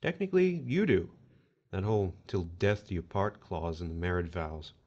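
A man's voice: low-pitched, big pitch swings, normal speed, a little mellow, lo-fi sound. An actor playing an officious otherworldly male collector of souls in an audio horror drama, wry and formal as he explains procedure. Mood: formal, theatrical.

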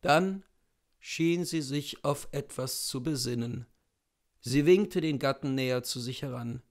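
A man in his thirties narrates a story from a book. He reads slowly, with a noticeable creak in his voice, in a small indoor room. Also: mid-range voice. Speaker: literary reader. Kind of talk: scripted story narration, reading aloud.

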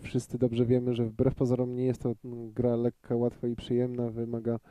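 A man giving live pool commentary in a measured way, thinking aloud with frequent hesitations. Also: medium pitch, completely flat delivery, dark tone, no echo.

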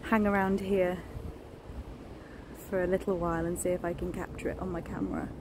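A woman's voice: lowish voice, flat delivery, a little fast. Chatty woman filming a nature walk. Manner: enthusiastic, chatty, many fillers. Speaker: nature vlogger. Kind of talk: casual walking vlog. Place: outdoors in woodland and on a beach. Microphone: phone held at arm's length. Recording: outdoor phone recording with noise. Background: distant planes and outdoor ambience.

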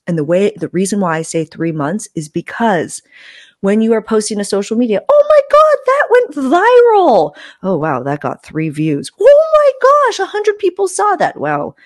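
A woman's voice: mid-range voice, a little mellow, dry recording. A woman in her thirties hosting a casual podcast, talking frankly and emphatically into a close mic. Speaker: podcast host. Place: small room at home, video call. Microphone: close desktop mic with furry windscreen.

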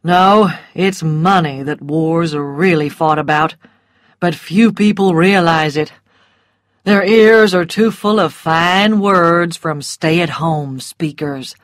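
A woman voicing a cynical, mocking Southern gentleman who speaks in a bored, lazy drawl, in a storybook reading. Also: lowish voice, takes it slowly, no room sound, clean studio sound.

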